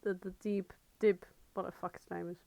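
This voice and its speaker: A woman's voice: lowish voice, little pitch movement, moderate pace, creaky, dark tone, no room sound. A woman co-hosting a casual comedic podcast, chatting in an irreverent, sweary, bantering way. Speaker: podcast co-host.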